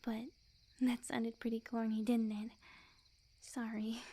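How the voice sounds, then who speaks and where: A woman playing a sweet, clingy, lovesick young witch in an ASMR roleplay, speaking softly and breathily in an intimate, coy, earnest way. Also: a little high, little pitch movement, slow pace. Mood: tender, hopeful. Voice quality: soft, breathy, often near-whisper.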